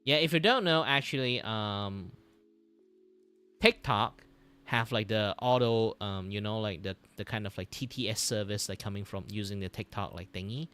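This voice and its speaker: A man who speaks English as a second language, chatting casually on a livestream in a halting, repetitive way. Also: on the low side, clear, resonant tone, soft background music. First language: not English. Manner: halting, repetitive, animated reactions. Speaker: streamer and animator.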